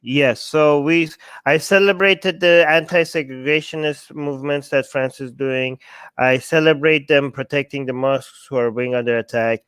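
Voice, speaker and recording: A man's voice: mid-range voice, fairly even intonation, normal speed, fairly bright tone, smooth, no room sound. A man co-hosting a political talk show, bluntly arguing his view and mimicking the people he disagrees with. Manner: blunt, argumentative, quotes others in mocking voices. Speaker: co-host.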